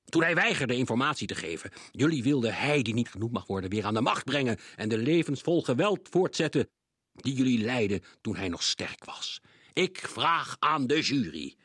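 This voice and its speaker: A man voicing a furious older judge who bellows his charges slowly, in a storybook reading. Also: mid-range voice, sing-song, fairly bright tone, slightly rough, dry recording, studio quality, voice pushed up. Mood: thundering accusation. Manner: bellowing, slow and pronounced.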